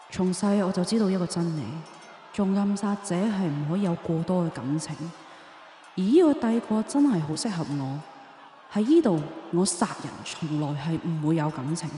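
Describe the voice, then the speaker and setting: A woman's voice: lowish voice, dark tone, natural voice. A woman playing a cold-blooded young assassin princess in a Chinese radio drama, cool, detached and theatrical. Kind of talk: Chinese radio drama acting with first-person narration. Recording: roomy long reverb, faint music behind.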